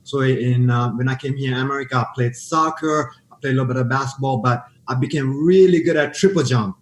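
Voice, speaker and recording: A man's voice: mid-range voice, a little fast. A man with a Sri Lankan accent tells his story as a podcast guest, his speech eager and full of restarts. His voice carries a roomy echo, as if he is on a call from an echoing room. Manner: eager, repeats words, starts and restarts. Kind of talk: storytelling interview answers.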